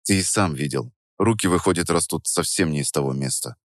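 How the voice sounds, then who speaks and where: A man's voice: very deep voice, brisk, no echo, studio quality, pitched below the performer's own voice. A man voicing a grumpy, curt young man who mutters his lines in an audiobook. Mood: irritated. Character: grumpy young man, self-deprecating cook and hero. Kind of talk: audiobook character voice.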